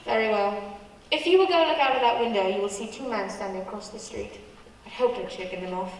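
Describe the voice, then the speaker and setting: A woman's voice: mid-range voice, brisk, natural voice. A woman playing a secretive, frightened foreign secret agent in a radio play. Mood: urgent, secretive. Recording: very echoey hall, distant mic.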